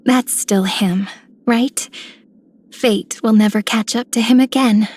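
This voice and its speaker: Lightly high, dreamy maiden. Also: female voice, steady tone, takes it slowly, darkish tone. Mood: tender, hopeful. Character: tender, dreamy young woman, affectionate. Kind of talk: scripted game character voicelines.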